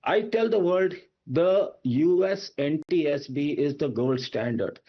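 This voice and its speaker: Man, Indian accent, expert panelist, emphatic and argumentative, higher-than-average pitch, dull band-limited audio. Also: a little slow. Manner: emphatic, argumentative, with rhetorical questions. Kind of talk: spoken analysis on a news debate.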